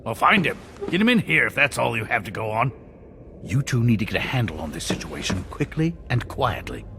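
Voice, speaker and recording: An actor playing a pompous, petulant acting mayor in a video game, haughty and fussy, with theatrical enunciation. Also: male voice, mid-range voice, very animated, fairly quick, creaky, background noise. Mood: irritable, bossy.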